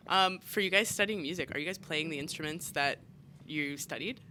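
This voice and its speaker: Woman interviewer, bright tone, upbeat, eager, faint background hiss. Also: sits low. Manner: upbeat, quick reactions. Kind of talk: casual interview.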